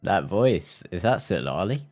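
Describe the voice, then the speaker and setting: A man's voice: deep voice, big pitch swings, measured pace, darkish tone, soft background music. A man livestreaming a game, giving casual, rambling, somewhat hesitant commentary to his viewers. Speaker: game streamer.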